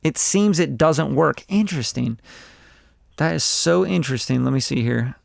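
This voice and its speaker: Man, forties, rambling livestream talk, blunt and profane, slightly high voice. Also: animated, moderate pace, gravelly, no room sound, studio quality. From Costa Rica. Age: 40s. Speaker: music producer reviewing songs live. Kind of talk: casual livestream chat.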